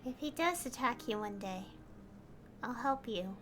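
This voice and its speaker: A woman streamer reading game dialogue aloud in a slow, soft, unhurried way. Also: a little high, animated.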